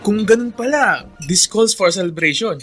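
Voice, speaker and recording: A man's voice: sits high, expressive, moderate pace, over music. A man playing a jovial, generous hacienda owner in a Filipino radio drama, speaking heartily with English words mixed in. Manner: hearty, Taglish-peppered.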